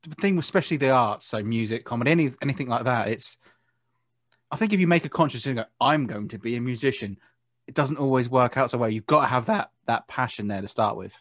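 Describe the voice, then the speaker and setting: Male British music host, casual and affirming, mid-pitched voice, dry recording. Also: animated, fast talker, dry recording. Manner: encouraging, affirming. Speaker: interviewer, music show host. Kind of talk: casual interview chat.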